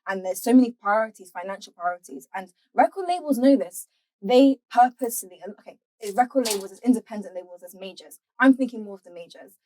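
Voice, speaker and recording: A woman co-hosting a casual panel discussion, tentative and halting as she thinks aloud. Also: fairly high pitch, lively intonation, darkish tone, a little hiss or hum, low-quality recording. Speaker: podcast co-host.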